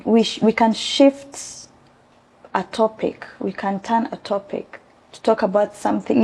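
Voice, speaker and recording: A young woman with a gravelly edge and a mellow, dark tone answers an interviewer. She is from South Sudan and speaks earnestly, often pausing with ums as she searches for words. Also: mid-range voice. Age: young adult. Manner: earnest, hesitant with many ums. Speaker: interview guest. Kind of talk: conversational interview.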